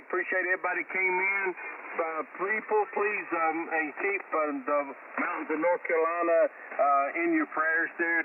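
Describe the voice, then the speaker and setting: Man, folksy radio net controller, high-pitched, buried in loud static. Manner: folksy, repeats "brother" and "there". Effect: heard over shortwave radio. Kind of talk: casual ham radio net talk. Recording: tinny narrow radio band, loud static, distant.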